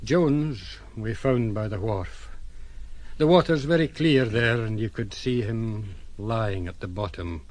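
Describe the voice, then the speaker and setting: An actor playing a blunt, sombre ship's captain recounting events in an audio drama, in a plain-spoken way. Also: male voice, fairly low pitch, slow pace, darkish tone. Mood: bitter. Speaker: sea captain.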